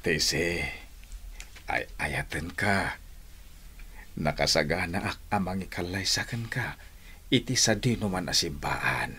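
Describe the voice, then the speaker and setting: An actor with an Ilocano accent playing a lovestruck, showy suitor in a comedy radio drama, with a husky voice. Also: male voice, low voice, animated, slow pace, long pauses, pushed and bright, heavily acted. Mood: earnest wooing. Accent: Filipino, Ilocano. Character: lovestruck male suitor, jokey and showy with his pal.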